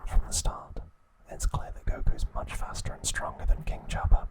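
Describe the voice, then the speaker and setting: Whispering man, soft ASMR narration. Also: poor audio. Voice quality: breathy, unvoiced whisper. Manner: hushed, measured, in short phrases. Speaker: ASMR host. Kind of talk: whispered ASMR narration, recounting episode summaries.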